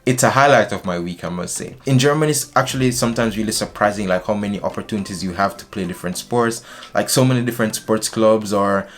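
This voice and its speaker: A young man from Jamaica chatting casually to camera in a small indoor room, animated and gesturing as he talks. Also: lowish voice, fast talker. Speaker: vlogger.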